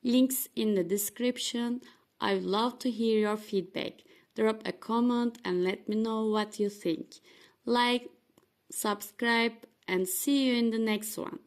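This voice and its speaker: A woman whose first language is Turkish walks through software she made, in a scripted, friendly presenter tone. Her voice sits a little high, with a noticeable creak and gravel to it. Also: fairly even intonation, slow pace. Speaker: developer demoing her software. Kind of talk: scripted screen-recording walkthrough.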